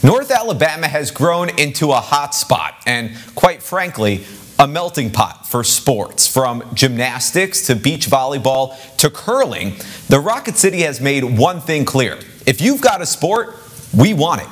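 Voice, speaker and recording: A man's voice: mid-range voice, very animated, measured pace, a bit bright, breathy edge. Upbeat male news reporter. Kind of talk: scripted news narration.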